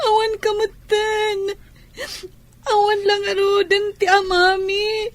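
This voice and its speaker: Nangato ti timekna nga ulila a manang. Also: female voice, extremely high pitch, little pitch movement, slow pace. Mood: tearful, grieving. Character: orphaned girl, protective grieving older sister. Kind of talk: radio drama acting.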